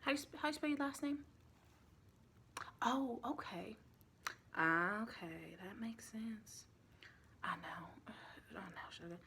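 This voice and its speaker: A woman playing a sweet, chatty vampire lady with a Southern US accent, soft-spoken and partly whispering in a rambling, reassuring ASMR roleplay. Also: medium pitch, takes it slowly, long pauses, slight background noise. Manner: folksy, rambling, reassuring, doting.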